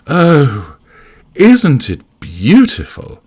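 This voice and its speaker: A man's voice: mid-range voice, wildly swooping pitch, a little slow, husky, pitched above the performer's own voice, heavily acted. A man voicing a snobbish, domineering well-off wife in an audiobook, effusive, emphatic and condescending. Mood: excited, gushing.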